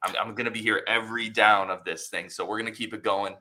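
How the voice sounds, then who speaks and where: A man hosting a casual sports podcast, his voice gravelly and hoarse from a cold, talking in a loose, conversational way. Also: fairly low pitch, fast. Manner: relaxed, conversational, reads ads loosely with fillers. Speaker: podcast host.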